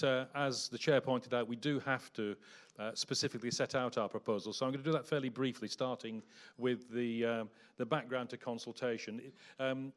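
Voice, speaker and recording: A man giving a presentation at a public meeting in a hall, measured and hesitant with frequent ums and uhs. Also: on the low side. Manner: measured, hesitant with many fillers.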